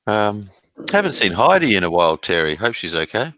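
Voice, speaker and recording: Deep-voiced bantering man. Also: fairly quick, no echo, low-quality recording. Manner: relaxed, bantering, swears casually. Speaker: live stream host. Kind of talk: casual chat.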